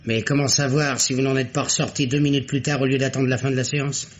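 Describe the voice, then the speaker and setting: An actor playing an insistent, skeptical deputy police detective in a French radio mystery, with an insinuating, mock-polite way of talking. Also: male voice, mid-range voice, flat delivery, fast, lightly acted. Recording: dull, noisy old radio recording.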